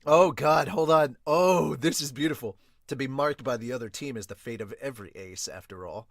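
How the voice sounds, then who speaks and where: A man with a mid-pitched voice reads manga aloud as a podcast host. He drops in casual, excitable reactions as he goes. The sound is dry, with hardly any room in it. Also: normal speed, dry recording.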